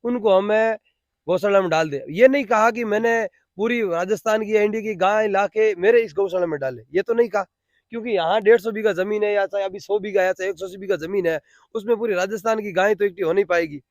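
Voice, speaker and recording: A man with a Rajasthani accent speaks in a high voice with very little echo around it. He explains himself off the cuff as an interviewee, often restating a phrase to press the point. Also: brisk, clean studio sound. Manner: repeats phrases for emphasis. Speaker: interviewee, cow shelter volunteer. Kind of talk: spontaneous explanatory interview answers.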